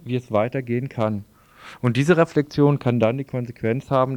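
A man's voice: mid-range voice, a little mellow, no echo. Dry-sounding man reading a political text. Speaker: radio presenter reading quotations. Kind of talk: scripted read-aloud of a political text.

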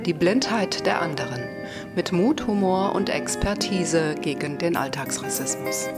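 A woman narrating a radio feature in a measured, composed scripted reading. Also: on the low side, lively intonation. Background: music.

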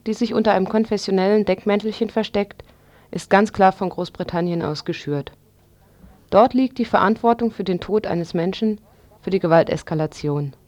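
A woman with a mid-pitched voice reads a scripted news commentary for radio. The sound is dry, with no room around her. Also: a little mellow, dry recording.

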